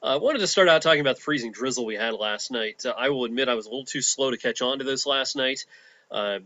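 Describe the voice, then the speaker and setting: A man's voice: medium pitch, fast talker, bright, forceful tone, no echo, highs cut off. Chatty weatherman with a head cold. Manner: chatty, filler-heavy with frequent uhs and restarts. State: has a cold. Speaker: TV meteorologist. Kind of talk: casual weather explainer.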